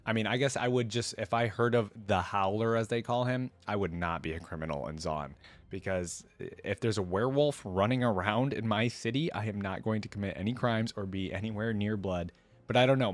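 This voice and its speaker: A man's voice: fairly low pitch, a little fast. A man hosting a reaction video, talking casually and directly to viewers in a conversational way. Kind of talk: casual reaction commentary with read-aloud story passages.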